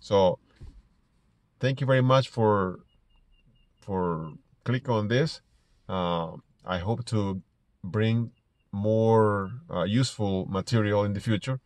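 Low-voiced middle-aged man with Ecuadorian Spanish accent. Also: darkish tone, no echo. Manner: halting, repeats words as he goes. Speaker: small business owner vlogger. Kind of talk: casual personal storytelling to camera. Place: inside a parked car.

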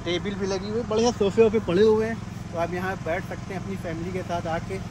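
An upbeat man guides his viewers around a harbour and a boat at night, chatting and asking questions into his phone. His voice sits fairly high. Loud generator and harbour noise run underneath. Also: flat delivery, brisk. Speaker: vlogger. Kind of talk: walking vlog with street interviews.

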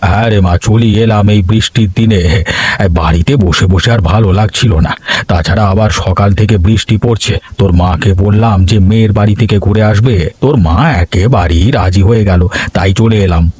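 A man's voice: on the low side, fairly even intonation, brisk, a little mellow, husky, dry recording. A man voicing a genial elderly village grandfather in a cartoon, hearty and fond. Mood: fond, cheerful. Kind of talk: animated cartoon dialogue.

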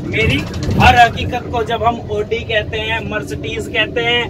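A man projects in a very high, raised voice. He recites like a rallying leader, repeating lines for effect. The recording is noisy, with the rumble of a coach interior around him. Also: flat delivery, unhurried. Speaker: group leader addressing a tour party. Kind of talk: recited motivational verse to a group. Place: inside a tour coach, standing in the aisle. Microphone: camera a few metres away. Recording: noisy bus interior, heavy vehicle rumble.

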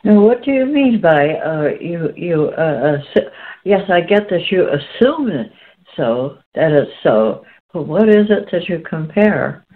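Female host, lowish voice, deliberate and halting in conversation; thin, narrow-band call audio. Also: very animated. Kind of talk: conversational discussion, putting questions.

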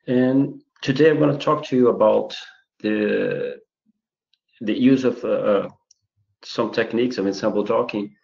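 A man's voice: on the low side, gravelly, darkish tone. Brazilian man lecturing in a webinar. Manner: halting, many fillers and restarts. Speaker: chemistry researcher giving a webinar. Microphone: computer mic on a video call. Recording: roomy, muffled webinar audio.

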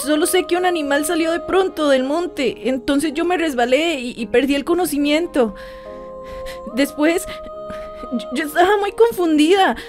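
An actress playing a shaken, confused young daughter in a radio drama, halting and stammering. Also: female voice, high-pitched.

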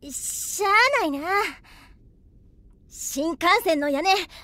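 A woman playing a plucky, warm-hearted girl with a Kansai accent in an anime audio drama. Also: extremely high pitch, a little slow, no room sound. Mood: resigned, resolute.

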